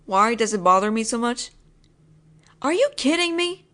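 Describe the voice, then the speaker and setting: Brief: woman, slightly high voice, close mic, roleplay as a possessive roommate, insistent and teasing. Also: animated, normal speed, bright, forceful tone. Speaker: voice actress playing a possessive roommate. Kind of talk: scripted one-sided audio roleplay.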